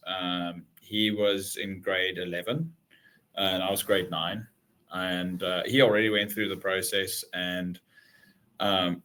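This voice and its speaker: A man in his twenties whose first language is Afrikaans, from South Africa, talking casually in a webinar interview with many fillers. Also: low voice. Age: 20s. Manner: relaxed, many fillers and restarts. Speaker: guest, former college athlete.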